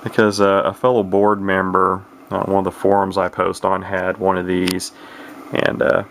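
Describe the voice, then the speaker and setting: A man's voice: low voice, completely flat delivery, normal speed, fairly bright tone, slight background noise. A man giving a casual, unscripted product walkthrough in a rambling, matter-of-fact way, as a hobbyist talking near his camera. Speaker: radio collector giving a product demonstration.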